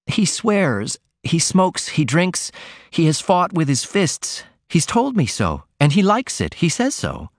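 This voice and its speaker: A man's voice: a little high, wildly swooping pitch, slow, no room sound, pitched above the performer's own voice, heavily acted. A man voicing an earnest, innocent young woman who confides in her mother in an audiobook reading. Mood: earnest confiding.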